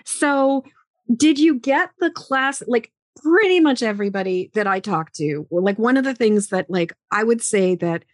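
A woman's voice: a little high, lively intonation, a little slow, no room sound. A woman hosting a podcast interview, chatty and enthusiastic, rambling with lots of fillers. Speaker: podcast host. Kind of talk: casual interview chat.

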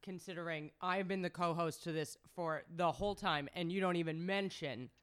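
A man's voice: fairly high pitch, moderate pace, fairly bright tone, clear, resonant tone. A man co-hosting a podcast, speaking in a mock-offended way with stammering restarts. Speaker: podcast co-host. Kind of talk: mock-indignant casual talk.

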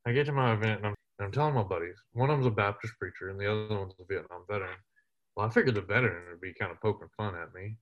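Man in his thirties, humorous storyteller, low voice, muffled recording. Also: very fast. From West Texas. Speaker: interview guest, military veteran. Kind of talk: storytelling in casual interview.

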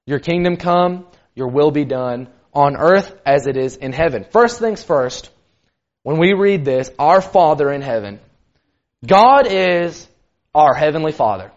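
A man giving a sermon in a loud, carrying voice, casual and conversational with lots of "kind of". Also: a little high, lively intonation, measured pace. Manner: loud voice that carries; conversational, many fillers like "kind of". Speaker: preacher. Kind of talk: sermon teaching. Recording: dull lo-fi recording.

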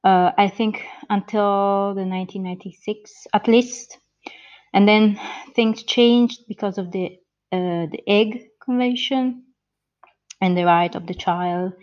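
A woman in her thirties with an Italian accent, a guest on an interview podcast who answers slowly and hesitantly, with many pauses and restarts. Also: mid-range voice, a little mellow, lo-fi sound. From born in the US, grew up in Italy.

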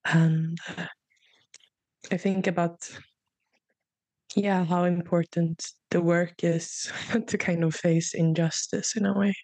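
A young woman talks slowly and softly in a flat, even tone, hesitating as she shares heartfelt thoughts. Her voice sounds dry and close, with a slightly low pitch. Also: dry recording, poor audio. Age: young adult. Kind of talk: reflective conversation.

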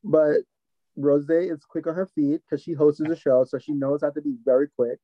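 Male, Jamaican accent, higher voice, conversational and expressive storyteller, close dry but slightly dull sound. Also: normal speed, dry recording. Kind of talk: casual chat.

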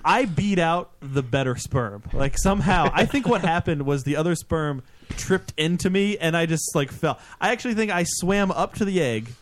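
A man's voice: on the high side, animated, moderate pace, hardly any pauses, dry recording. A man in his late twenties hosting a podcast, rambling through crude, self-deprecating banter on a studio mic. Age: 20s. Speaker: podcast host. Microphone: studio microphone with headphones.

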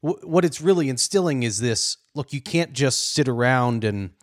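A man's voice: medium pitch, animated, moderate pace, no room sound, studio quality. A man hosting an interview podcast, talking in a casual, joking, conversational way. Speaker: podcast host.

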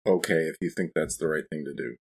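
A man with a husky voice, chatting casually as a player in a tabletop game. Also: a bass voice, fast. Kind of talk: casual in-character tabletop discussion.

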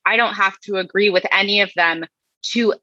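Woman podcast co-host, bright forceful tone, wry dry delivery, slightly dull recording. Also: medium pitch, steady tone, normal speed, no room sound. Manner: wry, dry reading with asides. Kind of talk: casual podcast chat, reading comments aloud.

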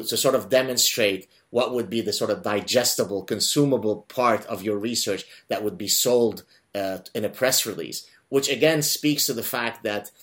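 A man's voice: on the low side, a bit bright. A man hosting a podcast interview, chatting casually with hedging asides. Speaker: podcast host.

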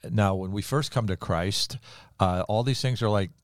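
Deep-voiced older man, chatting about faith. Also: normal speed, dry recording, studio quality. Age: 60s or older. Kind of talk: casual religious conversation with personal testimony.

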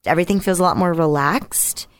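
A woman with a naturally raspy voice, a singer being interviewed, talking casually in a candid, reflective way. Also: fairly low pitch, a little fast. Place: radio studio.

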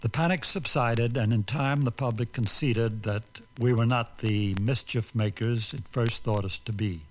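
Measured male narrator, medium pitch. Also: poor audio. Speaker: documentary narrator. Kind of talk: scripted retrospective narration.

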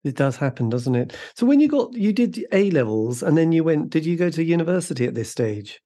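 Brief: male interviewer, fairly high, lively pitch, mellow tone, short encouraging prompts. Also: brisk. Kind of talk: conversational interview.

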